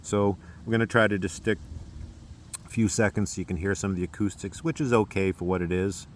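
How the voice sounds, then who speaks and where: An American man casually presenting a demonstration as a hobbyist, with a halting, unscripted delivery. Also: sits low, slight background noise.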